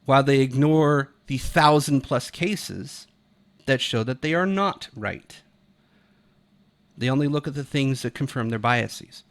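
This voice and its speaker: An American man records an unscripted opinion monologue. He argues his points emphatically in a medium-pitched voice and swears casually as he goes. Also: unhurried. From Utah, United States. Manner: emphatic, argumentative, casually profane. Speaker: video commentator.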